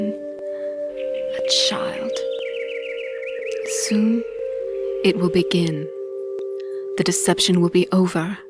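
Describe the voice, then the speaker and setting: An actress playing a resolute, bitter young seafaring woman bent on revenge, narrating a game briefing in a brooding, deliberate way. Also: fairly low pitch, lightly acted. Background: music.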